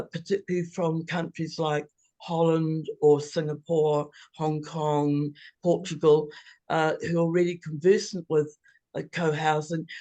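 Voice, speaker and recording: An older woman speaks with a New Zealand accent and a gravelly creak, captured quite dry. She tells her own story informally as a presenter, in a measured, reflective way. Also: sits low, warm tone, dry recording. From New Zealand, born in England. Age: older, about 70s. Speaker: co-housing founder presenting. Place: video call.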